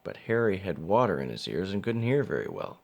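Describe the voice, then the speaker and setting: Male storybook narrator, low and gravelly, with lively swings in pitch. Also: fairly quick, highs cut off. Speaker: father reading a picture book. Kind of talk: children's storybook narration read aloud.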